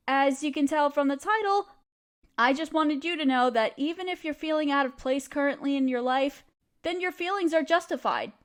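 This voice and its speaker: A woman delivering a comforting scripted monologue straight to the listener, reassuring and encouraging like a supportive friend. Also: high-pitched. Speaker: audio creator speaking as a supportive friend.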